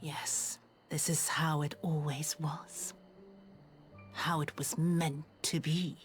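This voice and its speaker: A woman's voice: low voice, flat delivery, takes it slowly, faint music behind. A woman playing a tormented, spiteful young villainess in a video game, with theatrical, intense delivery. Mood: bitter resignation. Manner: theatrical, breathy whispering into intense declamation. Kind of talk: dramatic video game monologue.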